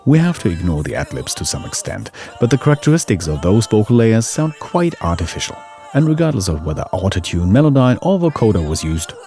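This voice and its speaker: Low-voiced man narrating an audio tutorial. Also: warm tone. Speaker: audio engineering instructor.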